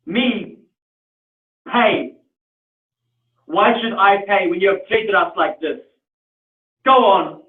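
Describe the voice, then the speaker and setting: A proud, outraged South African customer who speaks slowly and scornfully, as a man puts him on. The voice is raised high above the performer's usual pitch and gets loud at its peaks. It sounds tinny and echoey, recorded from a distance. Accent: South African (put on). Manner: loud, slow and scornful, with stern declarations. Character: indignant middle-aged man, proud and outraged. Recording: tinny, echoey room from afar.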